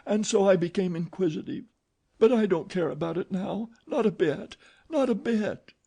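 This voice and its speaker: A man's voice: lively intonation, normal speed, dark tone, full character voice. A man voicing a frightened young woman who pleads anxiously in an audiobook. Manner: pleading, anxious, breathy high falsetto. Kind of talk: audiobook character voice.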